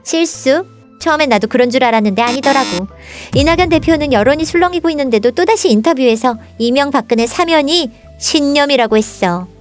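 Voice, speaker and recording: A woman's voice: high voice, lively intonation, brisk, over music. A woman playing an indignant young storybook princess in a political satire, scolding and explaining with pointed rhetorical questions. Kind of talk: scripted political satire dialogue, storybook parody.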